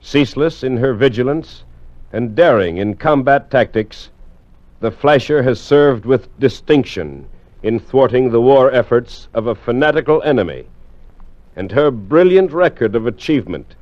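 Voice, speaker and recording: Man doing a formal navy official, solemn n emphatic, medium pitch, muffled old radio drama. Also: darkish tone, no room sound, close to an everyday voice. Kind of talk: 1940s radio formal declamation. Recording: dull lo-fi vintage radio recording.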